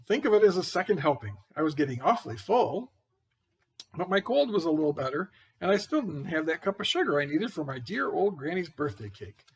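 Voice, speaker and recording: A man reads as a wolf from a children's picture book, insisting he was wronged. The voice is high for a male and rough with creak, swinging widely in pitch. The telling is folksy, expressive and full of disbelief. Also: brisk, slight background noise. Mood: self-justifying. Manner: expressive, incredulous storytelling. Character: aggrieved, folksy wolf pleading his innocence.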